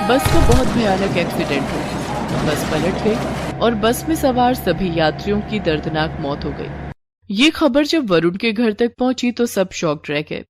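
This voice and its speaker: A woman narrating a scripted moral story as the storyteller. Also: medium pitch.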